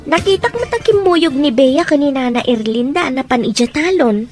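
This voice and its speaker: An outspoken Filipino mother and wife , acted by a woman in a radio drama. Her voice sits high, and she teases as readily as she scolds. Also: expressive, normal speed, over music. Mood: everyday, chatty. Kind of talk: radio drama acting in Ilocano.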